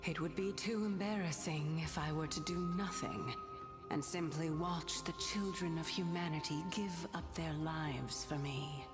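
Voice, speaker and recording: A woman voicing a calm, gracious ancient goddess in human disguise, speaking softly in a breathy half-whisper. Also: sits low, measured pace, long reverb tail. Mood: calm, knowing. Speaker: game character. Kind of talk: video game voice acting. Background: game music.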